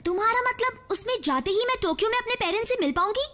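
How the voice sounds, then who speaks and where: A woman's voice: extremely high pitch, expressive, fast, bright, forceful tone, heavily acted. A woman voicing an eager teenage girl who asks many questions in a dubbed cartoon. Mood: curious. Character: curious excited teenage girl. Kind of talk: Hindi cartoon dub.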